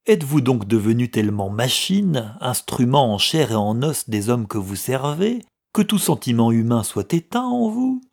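Man acting a bitter, husky down-and-out brother. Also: fairly low pitch, expressive, measured pace. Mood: angry. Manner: sneering, sardonic, forceful. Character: bitter, scornful, grey-haired down-and-out younger brother. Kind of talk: audiobook character dialogue.

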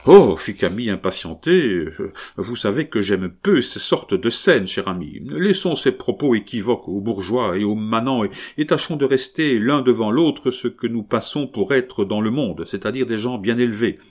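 A man reading aloud and voicing a smooth, evasive young husband who talks in a glib, dismissive way. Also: on the low side, expressive, normal speed. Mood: impatient. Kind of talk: audiobook reading.

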